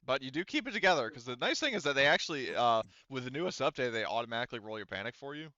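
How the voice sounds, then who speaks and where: A man acts as game master, speaking casually in a halting, feeling-his-way manner. His voice is bright and clean, recorded dry on a slightly dull microphone. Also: mid-range voice, sing-song, no room sound. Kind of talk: casual tabletop game narration, directing players.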